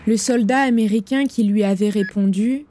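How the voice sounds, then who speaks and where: A woman narrating calmly. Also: mid-range voice, moderate pace, slight background noise.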